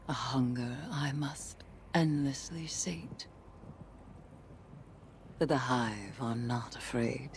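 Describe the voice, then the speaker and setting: A woman voicing a brooding, vengeful occult warrior in a video game, solemn and portentous, with breathy intensity. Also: sits low, slight background noise, exaggerated voice. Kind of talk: dramatic video game acting.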